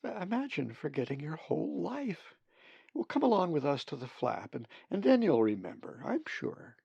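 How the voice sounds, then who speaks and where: A man voicing a kindly, motherly female raven in a storybook, soft-spoken and sympathetic. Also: a little high, very animated, normal speed, creaky, husky, no room sound, lo-fi sound, pitched above the performer's own voice, heavily acted. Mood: soothing, sympathetic. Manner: soothing, softly spoken.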